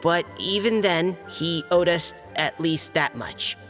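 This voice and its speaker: A man's voice: a little high, lively intonation, a bit bright. An actor playing an earnest young male knight who reads a formal letter aloud with care and halts when flustered. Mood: steady reading. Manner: careful, formal reading, halting when flustered. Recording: game cutscene with reverb and music.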